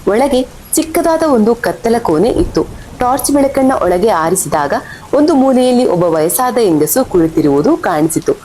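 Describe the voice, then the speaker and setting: A woman's voice: big pitch swings, normal speed, dark tone, rough recording. A woman reading scripted horror story narration as the storyteller. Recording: faint rumble and rain behind voice.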